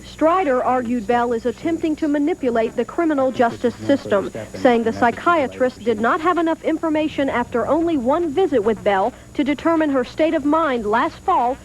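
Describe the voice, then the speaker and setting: A woman's voice: sits high, low-quality recording. A woman reading a scripted television news report as a field reporter, on an old 1980s broadcast tape.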